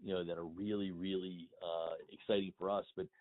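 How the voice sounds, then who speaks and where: Deep-voiced man on a muffled phone line. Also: level tone, a little fast. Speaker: interview guest, industry consultant and blogger. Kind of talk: conversational phone interview. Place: remote phone call.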